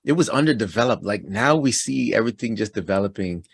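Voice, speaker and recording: A man from Toronto hosting a casual interview, upbeat and chatty and quick to laugh. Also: fairly low pitch, expressive, gravelly, husky. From Toronto, Canada. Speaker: podcast host.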